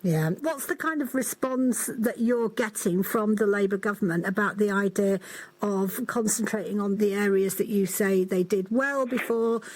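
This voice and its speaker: A woman hosts a radio interview in a conversational style. Her voice sits at an average pitch as she puts questions to a guest. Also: moderate pace, a little mellow, poor audio.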